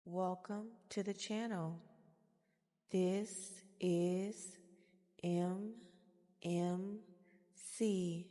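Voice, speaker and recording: A woman guiding a meditation in a soft-spoken ASMR style, with soothing, gentle, deliberate phrasing. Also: lowish voice, fairly even intonation. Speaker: guided meditation host. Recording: long reverberant echo.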